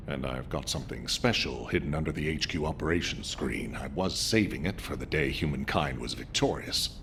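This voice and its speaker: A man's voice: extremely low pitch, vocal fry, noisy background, exaggerated voice. An actor playing a male commander leaving a final recorded message to his troops in a video game. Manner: steady, heartfelt address to troops. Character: fallen male commander's recorded farewell, steady and caring. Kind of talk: video game cutscene dialogue.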